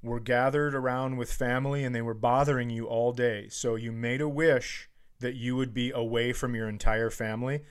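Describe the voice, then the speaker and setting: A man with a medium-pitched voice talks in loose, casual comedic banter. He teases his co-host and spins out hypothetical bits in a conversational podcast style. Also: flat delivery, moderate pace, hardly any pauses, smooth. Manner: teasing, riffing on hypotheticals.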